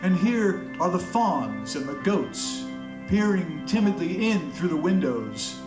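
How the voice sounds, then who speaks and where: An actor voices a murdered man narrating radio noir in a slightly high male voice, very slow and florid. He is bitter and brooding, in a roomy space with guitar music behind him. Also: very animated, heavily acted. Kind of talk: poetic radio noir monologue.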